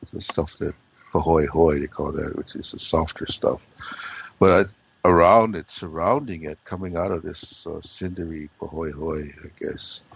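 A man with a hoarse, froggy voice, chatting loosely as a regular guest and rambling with many ums and uhs. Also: rumbling low, muffled recording. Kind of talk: casual conversation.

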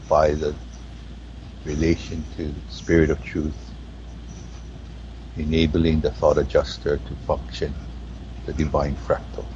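A man with a Hawaiian accent talking in a spiritual conversation, slow and halting as he searches for words. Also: a bass voice, dark tone, highs cut off, a little hiss or hum. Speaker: guest.

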